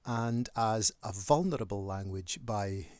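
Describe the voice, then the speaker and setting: A middle-aged man with a northeast Scottish accent, a church minister giving a measured, earnest introduction to his channel. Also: on the low side, darkish tone. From northeast Scotland.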